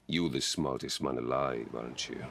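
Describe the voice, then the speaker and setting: Dim, taunting heavy: rock-bottom pitch, rough creaky growl, video game villainy. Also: male voice, steady tone, moderate pace, a bit bright, full character voice. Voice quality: deep, husky, gravelly. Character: hulking, dim, taunting henchman god. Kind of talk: dramatic game acting.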